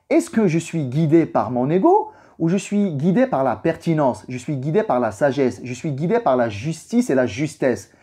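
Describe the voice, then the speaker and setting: Expressive, mellow-voiced man, slightly husky. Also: a little high. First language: Arabic. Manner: emphatic, rhetorical questions and repetition for stress. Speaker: vlogger giving a personal reflection. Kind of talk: informal motivational religious talk to camera.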